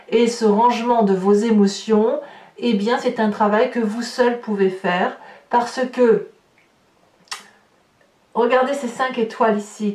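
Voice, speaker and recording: A woman's voice: medium pitch, a little mellow. A woman giving a spontaneous tarot guidance reading, explaining reflectively as she searches for words and rephrases. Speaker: tarot reader hosting an online horoscope reading. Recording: roomy echo, distant microphone.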